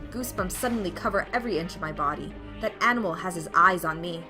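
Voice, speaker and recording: A woman's voice: on the low side, fast talker, music in the background, close to an everyday voice. A woman playing a frightened, desperate young hero in a visual novel, narrating in an emotional, melodramatic way. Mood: tense, fearful. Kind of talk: dramatic first-person visual novel narration.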